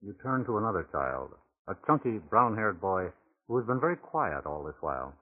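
Low husky vintage radio narrator, a man. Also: big pitch swings. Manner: measured, literary storytelling delivery. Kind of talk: 1940s radio documentary scripted narration. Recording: muffled phone-like vintage 1940s radio recording.

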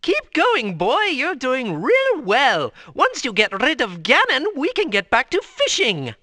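An excitable old fisherman from a cartoon game. His folksy voice is high and piercingly bright, swinging wildly up and down as he cheerfully encourages. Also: measured pace, exaggerated voice. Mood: encouraging.

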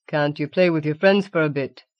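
A woman's voice: low-pitched, moderate pace, dry recording, muffled recording, natural voice. A woman voicing an irritable, coarse working-class father with a blunt, sarcastic manner in an audiobook.

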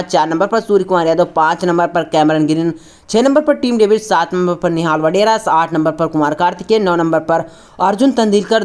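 Casting: female sports preview presenter, very quick delivery, slightly low pitch, scripted and formulaic. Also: darkish tone. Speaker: cricket match preview presenter. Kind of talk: scripted sports preview narration for online video.

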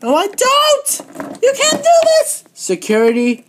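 A woman's voice: expressive, takes it slowly, heavy background noise. A woman voicing a bratty female wrestling champion who whines and shrieks in tantrums. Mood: shrieking. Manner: whiny, shrill, throws tantrums.

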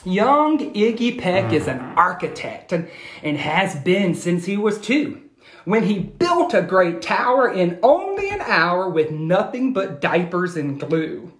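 An American man, a teacher in his thirties, reading aloud to children and asking them questions in an expressive, instructional way, recorded on a phone at home. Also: high voice, takes it slowly. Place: small room at home, indoors. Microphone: phone at arm's length. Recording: echoey room, phone recording.

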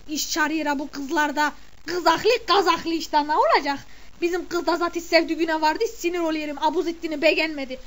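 A woman playing a shrill gossiping village mother. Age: middle-aged. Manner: chatty, exclamatory, scolding. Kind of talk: comic character monologue.

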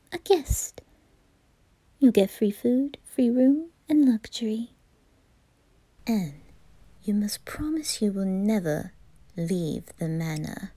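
A woman's voice: a little high, dark tone, dry recording. A woman voicing a haughty, bratty teenage aristocrat boy in an anime ASMR roleplay, imperious and mocking. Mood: smug, taunting.

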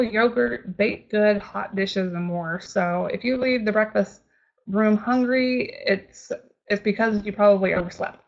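A woman's voice: medium pitch, vocal fry, highs cut off. A woman with a Texas accent, a travel agent giving a friendly, chatty webinar presentation with frequent ums and restarts.

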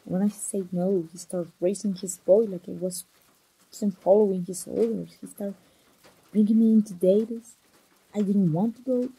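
A young woman voicing an engaged woman confiding in an old friend, in a soft, breathy, close ASMR roleplay style. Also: mid-range voice, fairly even intonation, moderate pace, low-quality recording. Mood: troubled. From Mexico.

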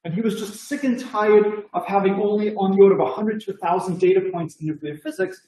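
A man's voice: high-pitched, expressive, fast talker, dark tone, echoey room, rough recording. A middle-aged man with a Boston accent giving an enthusiastic, conversational math conference talk over a video call, with many "you know" fillers. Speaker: mathematics lecturer.

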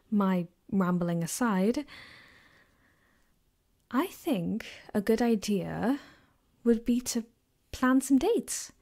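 A woman playing a gentle, caring girlfriend in an ASMR roleplay, speaking softly and hesitantly, sometimes whispering, close to the mic. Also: on the high side, expressive, very slow, pauses often, exaggerated voice. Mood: relaxed, affectionate. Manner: soft-spoken, hesitant, rambling. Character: gentle caring girlfriend, comforting her partner. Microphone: close binaural microphone.

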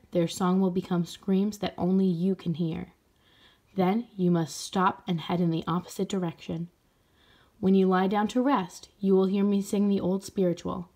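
A woman voicing a gentle, solemn aunt who guides a child in a storybook, soft and measured. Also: mid-range voice, close to an everyday voice.

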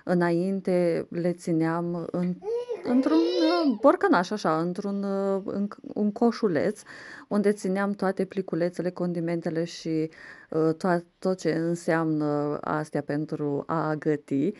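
A woman's voice: fairly low pitch, a little mellow. A young woman chatting in a casual voice-over about cleaning and organizing her kitchen, rambling with fillers and restarts. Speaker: home vlogger.

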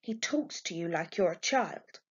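A woman's voice: fairly low pitch, expressive, measured pace, slightly rough. A woman voicing a patient but firm mother scolding her daughter in a storybook. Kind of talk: storybook character voice. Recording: dull, lo-fi home recording.

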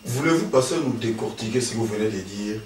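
Un homme d'âge mûr qui anime l'entretien, ponctue de murmures d'approbation et pose des questions insistantes. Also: male voice, lowish voice, expressive, fast. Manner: frequent affirming murmurs, probing questions. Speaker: interviewer. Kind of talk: religious TV interview. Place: small studio with patterned wallpaper. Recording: roomy, distant, faint hiss.

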